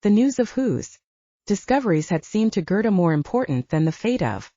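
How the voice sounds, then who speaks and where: Audiobook narrator, woman, low-lit warm tone, expressive intonation, scripted literary prose. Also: moderate pace, no room sound. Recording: slightly dull, lo-fi close recording.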